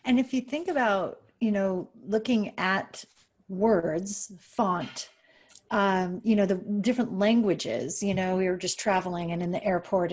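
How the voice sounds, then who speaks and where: A woman's voice: on the low side, darkish tone. A woman on a panel, musing aloud in loose, trailing phrases. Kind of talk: casual group discussion.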